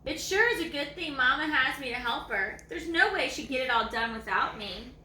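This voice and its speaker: A woman's voice: high voice, lively intonation, moderate pace, sharp tone, reverberant, far from the mic, slight background noise, exaggerated voice. A woman voicing a lazy, self-important old male ranch hound dog from a storybook, in a folksy, self-satisfied way.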